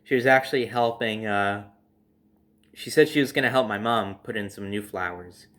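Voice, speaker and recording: A young adult man telling a casual personal story as host, in a dramatic, emphatic manner with drawn-out phrases. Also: lowish voice, a bit bright.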